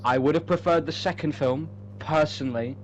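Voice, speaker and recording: A man's voice: a little high, moderate pace. A man chatting casually and unscripted, rambling enthusiastically with some swearing. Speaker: co-host. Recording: clearly noisy background, home-made recording.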